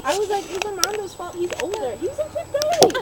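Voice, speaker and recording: A woman telling a comic anecdote casually to friends, animated and giggly, acting out the dialogue. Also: very high voice, a little fast. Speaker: amateur storyteller. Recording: very noisy amateur room recording.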